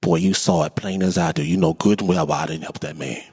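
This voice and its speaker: A man voicing a wise older grandmother who speaks slowly in a low, hushed, warning tone. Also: steady tone, slightly rough. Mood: stern. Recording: long hall reverb, faint music.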